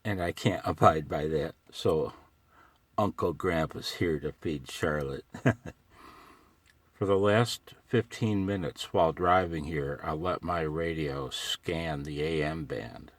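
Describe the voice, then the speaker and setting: A man's voice: extremely low pitch, slow pace, dry recording. An older American man rambling casually to himself on a vlog from inside a parked truck, with a wry, folksy manner. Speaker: amateur radio hobbyist vlogger.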